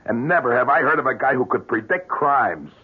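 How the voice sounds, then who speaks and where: An American actor playing a middle-aged, hard-boiled police inspector, gruff, blunt and suspicious, in a radio drama. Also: male voice, mid-range voice, animated, a little fast. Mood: insistent, bitter. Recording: muffled old radio broadcast.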